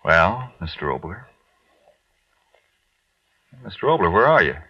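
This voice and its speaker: A man's voice: low voice, big pitch swings, measured pace, breathy edge, no room sound. A man working as a radio announcer, reading ads and announcements in a 1940s style. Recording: dull, distant vintage radio recording.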